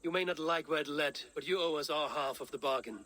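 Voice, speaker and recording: An actor playing a stern, blunt Viking leader, speaking in terse, solemn declarations. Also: male voice, fairly high pitch, fast, sharp tone. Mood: impatient.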